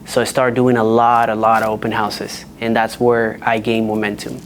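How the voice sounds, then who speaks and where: A man from the Dominican Republic, a real estate agent speaking on a panel and telling his career story in an earnest, measured way. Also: fairly low pitch, flat delivery, slight background noise. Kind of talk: panel discussion.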